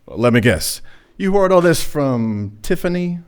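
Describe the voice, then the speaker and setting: A man's voice: on the high side, very animated, a little slow, clear, resonant tone. A man playing a suave, guarded gangster's son in a noir radio play, talking casually with cagey hesitations.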